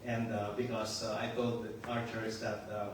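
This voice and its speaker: A man's voice: lowish voice, monotone, moderate pace. Older Filipino-accented male pastor, chatty and joking, distant mic in a roomy hall. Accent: Filipino with some Australian. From Philippines. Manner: joking and chatty, laughs often. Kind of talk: casual sermon. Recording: echoey far-off room mic with noise.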